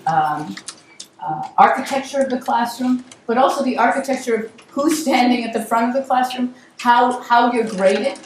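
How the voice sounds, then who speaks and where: A middle-aged woman directing a university program, opening a workshop with a casual talk, her voice weak and strained, full of ums and restarts. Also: on the high side. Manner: conversational, many ums and restarts. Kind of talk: welcoming talk to a live audience. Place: university seminar room. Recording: echoey room, voice from far off, clear noise.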